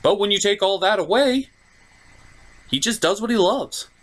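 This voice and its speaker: A man's voice: sits high, expressive, moderate pace. A man hosting a comedic review show, narrating from a script. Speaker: host and reviewer.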